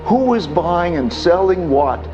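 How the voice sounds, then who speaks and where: Male interview guest, slightly high voice, deliberate and insistent, muffled recording over music. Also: lively intonation, a little mellow. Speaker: financial commentator, interview guest. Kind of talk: explanatory interview talk.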